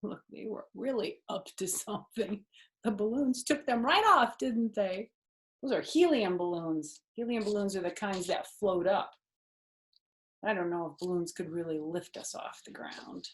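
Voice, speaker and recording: Older woman, unhurried pace, mid-range voice, children's storytime host, simple explanations, questions to listeners. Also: medium pitch. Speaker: storytime host reading a picture book to children. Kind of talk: children's read-aloud and chat with listeners. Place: outdoors on a back porch. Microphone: computer or device on a video call.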